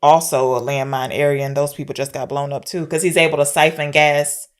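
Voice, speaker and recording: A woman's voice: low-pitched, flat delivery, brisk. A woman co-hosting a podcast, casually retelling a film's story and thinking aloud as she goes. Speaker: podcast co-host. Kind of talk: casual film discussion, retelling the plot.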